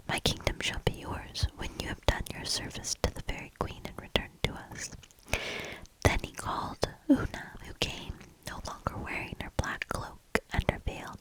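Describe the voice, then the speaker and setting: A woman doing soft ASMR storybook reading and quiet commentary in a breathy, gentle, hushed whisper. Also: poor audio. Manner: slow, gentle, hushed delivery.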